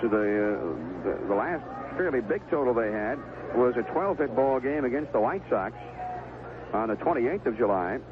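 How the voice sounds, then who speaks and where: Animated American baseball announcer on tinny vintage radio. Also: male voice, mid-range voice, normal speed. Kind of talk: live sports commentary. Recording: vintage 1970s AM radio broadcast, tinny, noisy.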